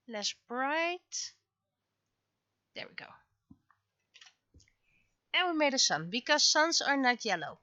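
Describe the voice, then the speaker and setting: A woman gives casual, think-aloud commentary as she works on digital art. Her voice is bright and forward at a middling pitch. It sounds close and dry, through a slightly lo-fi microphone. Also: no room sound. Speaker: livestreaming webcomic artist. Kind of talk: casual livestream commentary while drawing.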